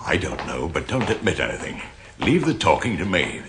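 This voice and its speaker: An actor playing a wily, blustering old British office clerk in a radio comedy drama. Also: male voice, sits low, sing-song, a bit bright, a little hiss or hum, full character voice. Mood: gruff, hushing. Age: older. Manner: blustering, quick to improvise.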